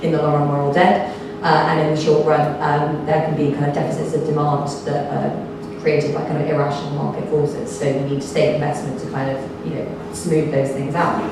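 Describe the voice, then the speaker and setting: A woman researcher giving a talk at a panel event, her voice slightly croaky, explaining steadily with many ums and kind-ofs. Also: deep voice, little pitch movement, normal speed. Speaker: think tank researcher, panel speaker. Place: event hall. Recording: echoey hall, from far off, noisy.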